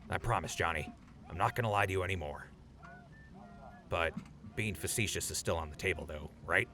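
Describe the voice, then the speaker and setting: A man playing a gruff but awkward, apologetic old buddy in an audio drama, gravelly and hesitant. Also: low-pitched, fairly quick, sharp tone, a little hiss or hum. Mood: remorseful.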